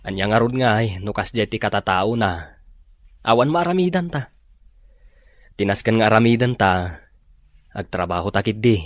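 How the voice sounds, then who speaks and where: A man's voice: fairly low pitch, lively intonation, normal speed, pauses often, dry recording, studio quality, natural voice. A man playing a concerned, practical companion in an Ilocano radio drama, reassuring, with an Ilocano accent. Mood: consoling.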